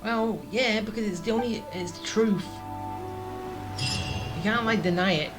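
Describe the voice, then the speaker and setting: A woman's voice: mid-range voice, unhurried. A woman doing casual gameplay commentary, muttering offhand remarks to herself. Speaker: gaming streamer. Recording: loud game music behind voice. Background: game music and effects.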